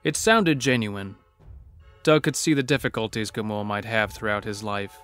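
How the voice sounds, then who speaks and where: A man's voice: lowish voice, normal speed, fairly bright tone, dry recording, faint music behind. A man narrating a scripted horror story as the narrator of an audio drama.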